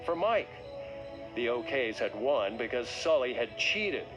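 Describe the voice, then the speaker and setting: A man narrating a scripted read-along story for children in a dramatic, expressive way. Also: mid-range voice, unhurried. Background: music.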